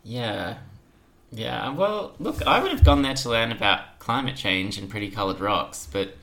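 A man's voice: medium pitch, expressive, measured pace, creaky, a bit bright. A young adult man chatting casually as a podcast guest, chipping in with dry, teasing remarks over some background noise. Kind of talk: casual chat.

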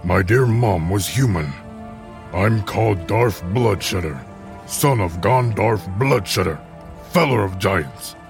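A man's voice: fairly low pitch, animated, slow pace, vocal fry, faint music behind, heavily acted. A man reading the lines of a surly male half-ogre who grunts and grumbles, in an audiobook. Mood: gruff.

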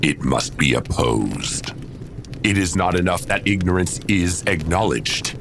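A man playing a stern superhuman warlord in an audio drama, passing grave judgment in solemn, weighty oratory with a gravelly voice. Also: lively intonation, measured pace, background noise, heavily acted. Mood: solemn conviction. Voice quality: very deep, gravelly.

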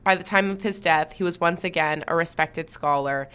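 A woman hosting a history podcast, reading scripted narration in a clear storytelling manner and bantering lightly with her co-host. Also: lowish voice, moderate pace, a bit bright.

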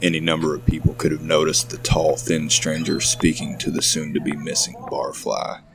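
Horror narrator: man, extremely low gravelly voice, measured and ominous, noticeable background noise. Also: monotone. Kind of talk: scripted horror story narration.

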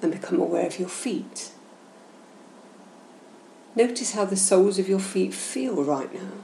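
A woman guiding a meditation session in a soothing, gentle, measured and instructional way. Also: mid-range voice, expressive, creaky. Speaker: guided meditation host. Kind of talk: slow, soothing guided meditation narration. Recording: roomy echo, faint background noise.

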